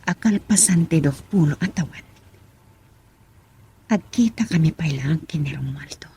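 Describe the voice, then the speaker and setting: Scheming lovesick woman, whispery radio drama monologue, very husky, breathy voice. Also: low-pitched, animated, a little slow, warm tone, full character voice. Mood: brooding.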